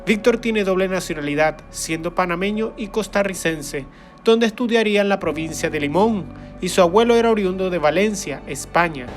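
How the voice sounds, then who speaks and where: A man narrates a documentary from a script, reading it in an even, informative way. His voice is notably high. Soft music plays faintly under him. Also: moderate pace.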